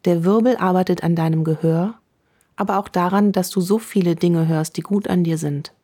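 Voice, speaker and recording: A woman guides a meditation in a slow, soothing voice, warm and mellow in tone. Her pitch sits a little low. Her delivery stays calm and affirming.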